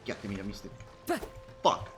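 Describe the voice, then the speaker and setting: A man's voice: fairly quick, faint music behind. A man streaming a game with casual commentary, full of blunt, profane, muttered asides.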